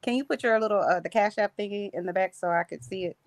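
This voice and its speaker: A woman on a panel, talking in a wordy, animated way. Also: mid-range voice, quick pace. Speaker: panel guest. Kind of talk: casual panel chat.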